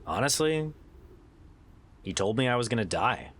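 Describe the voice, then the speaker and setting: A man's voice: medium pitch, big pitch swings, normal speed, fairly bright tone. A man playing a weary, loyal adventurer in an audio drama, talking in a casual, sardonic way. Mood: weary, wry. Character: wry, weary male adventurer, loyal old friend. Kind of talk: naturalistic audio drama acting.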